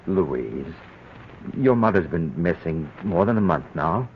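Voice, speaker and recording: An actor playing a nervous husband hiding a crime, stammering and flustered in a 1940s radio drama. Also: male voice, low voice, animated, slow, a little hiss or hum. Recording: muffled old radio broadcast.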